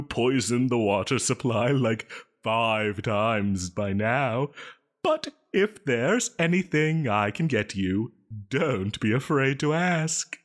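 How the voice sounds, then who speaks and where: An obsessive male supervillain in an audio roleplay. His pitch swoops up and down over slow, savoured phrasing. He is theatrical and giggly, mixing mock politeness with flirtatious menace. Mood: mock-polite. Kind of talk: solo audio roleplay addressed to listener.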